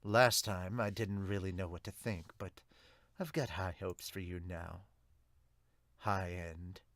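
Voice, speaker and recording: A man voicing a serious, confiding superhero in an amateur manga dub, speaking in a measured way. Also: sits low, fairly bright tone, dry recording, voice pushed down.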